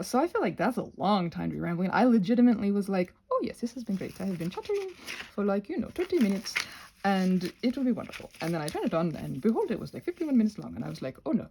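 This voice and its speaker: A woman's voice: a little high, breathy edge. A woman chatting casually as she hosts a craft video, playful and self-deprecating, drifting off on tangents and thinking aloud while she works. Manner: digressive, thinking aloud while working with hands. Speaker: craft and ASMR channel creator, host. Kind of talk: casual rambling craft chat.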